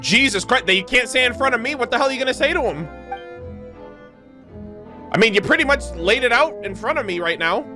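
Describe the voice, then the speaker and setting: A video game streamer giving casual, slangy, expressive reaction commentary with some swearing over game music. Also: mid-range voice, fairly quick, pauses often.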